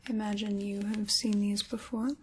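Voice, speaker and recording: A woman playing a sinister, chaos-loving dark witch in a whispered, theatrical ASMR roleplay, soft-spoken and close to the microphone. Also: mid-range voice, level tone, moderate pace, slight background noise. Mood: silky, coaxing.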